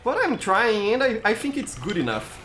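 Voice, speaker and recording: Male streamer, Brazilian accent, animated delivery, halting word-searching, background music on the stream. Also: high-pitched. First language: Portuguese. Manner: halting, often repeats words while searching for them. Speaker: game streamer. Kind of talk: casual gameplay commentary, answering chat.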